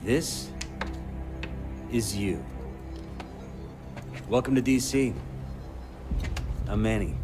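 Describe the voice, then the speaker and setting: An actor playing a weary, sardonic comms coordinator in a video game, briefing in a blunt, informal way. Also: male voice, fairly low pitch, natural voice. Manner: blunt, informal, foul-mouthed. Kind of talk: video game cutscene acting.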